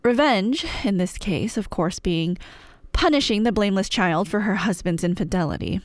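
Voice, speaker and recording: A woman's voice: medium pitch, expressive, normal speed, no echo, clean studio sound. A woman playing a chatty, nerdy girlfriend, rambling through a story in a wry, irreverent, slangy way. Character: chatty, playful, nerdy girlfriend telling myths. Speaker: audio roleplay performer playing a girlfriend. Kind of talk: casual rambling storytelling, scripted ASMR roleplay.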